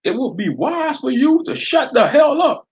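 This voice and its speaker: A man's voice: very high-pitched, very animated, normal speed, a little mellow, muffled recording, pitched above the performer's own voice, heavily acted. A man voicing a bullying small-town sheriff with a Southern drawl, sneering and menacing. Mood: threatening.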